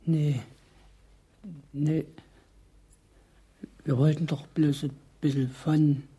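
Muffled, husky scared youth. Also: male voice, fairly high pitch, little pitch movement, very slow, warm tone, heavily acted. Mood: scared, pleading. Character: frightened, placating young delinquent. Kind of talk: German radio drama acting.

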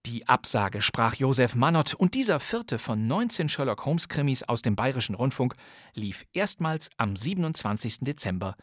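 An enthusiastic middle-aged man presents old radio crime dramas in a scripted, knowing podcast narration. His voice is mid-pitched and sits close to the mic. The studio sound is dry and dead. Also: big pitch swings, normal speed, no echo. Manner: enthusiastic, knowledgeable storyteller.

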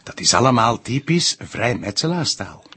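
A Flemish actor playing an erudite, patient detective in a TV drama, explaining hesitantly in a husky, gravelly voice. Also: male voice, medium pitch, normal speed, exaggerated voice. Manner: hesitant, didactic, animated. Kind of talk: naturalistic TV crime drama acting.

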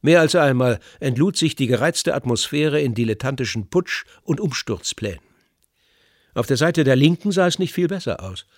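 Guy narrating a radio documentary, measured clear essay style reading, dry studio sound. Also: medium pitch, expressive, no room sound.

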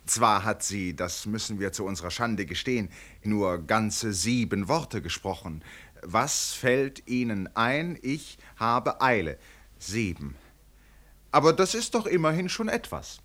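An actor playing a wry storyteller, narrating a radio play about royalty with ironic, mock-ceremonious relish. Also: male voice, fairly low pitch, big pitch swings, measured pace, a bit bright. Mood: mock relief. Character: wry, mocking male storyteller of a royal tale.

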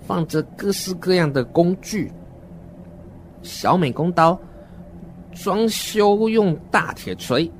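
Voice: medium pitch, lively intonation, slow pace, pauses often, a little hiss or hum. An adult performer voicing a naive, uneasy, wordy seven-year-old child who narrates a horror radio drama in an earnest, dramatic way.

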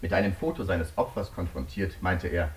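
A man's voice: low-pitched, expressive, a little fast, distant mic, slight background noise. An actor in a German radio drama playing a stern, indignant male prosecutor who speaks formally and emphatically. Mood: solemn, accusing.

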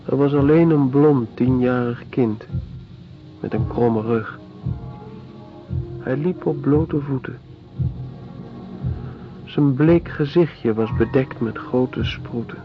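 An actor playing a grave traveller who narrates in a measured, deliberate way for a radio play. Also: male voice, mid-range voice. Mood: grave, sombre. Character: grave male traveller recounting questioning a neglected child. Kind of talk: literary first-person narration in a radio play. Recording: dull radio recording with music underneath.

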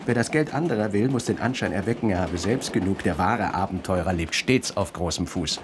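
An actor playing a suave, ironic gentleman thief with courtly manners in a radio play. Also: low voice, lively intonation, fairly quick, background noise. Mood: lecturing. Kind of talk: 1920s radio play acting.

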